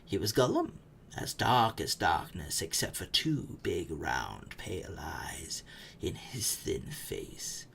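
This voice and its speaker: Lively, swooping storybook narrator. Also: deep voice, slow, creaky, whispered. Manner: expressive, suspenseful, hushed phrase endings. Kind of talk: dramatic storybook reading. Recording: faint background noise.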